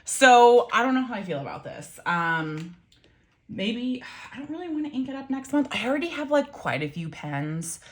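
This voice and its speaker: A woman's voice: fairly high pitch, sing-song, normal speed. A woman reviewing pens and inks in a casual vlog, chatty and rambling, with many ums, self-corrections and wry asides. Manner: wry, mildly swearing asides. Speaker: pen and ink reviewer.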